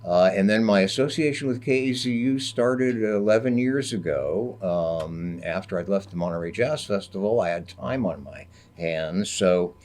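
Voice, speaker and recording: An older man with a deep, resonant radio voice, a public radio host chatting casually, unhurried and full of fillers. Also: darkish tone. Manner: unhurried, many uh fillers, jokey. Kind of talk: casual radio studio chat.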